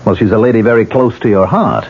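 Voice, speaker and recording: A man's voice: lowish voice, quick pace, husky. An actor playing a tormented, sardonic man who talks to a ghost, in theatrical 1970s radio drama style. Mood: darkly playful. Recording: muffled, hissy 1970s radio recording.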